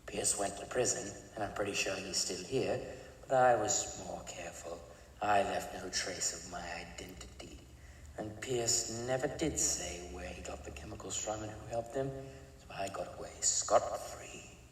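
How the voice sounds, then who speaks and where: A man playing a cold, deep-voiced disfigured chemist. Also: moderate pace, lightly acted. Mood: calm. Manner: calm, clipped, matter-of-fact. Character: disfigured male chemist criminal, cold and detached. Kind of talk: fan audio drama acting. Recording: echoey, distant room with faint noise.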